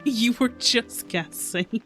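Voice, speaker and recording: A woman's voice: fairly high pitch, takes it slowly. A woman streamer chatting playfully over a story game, joking and reacting as she plays. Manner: playful, chatty, laughs often. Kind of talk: game playthrough commentary. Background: game music.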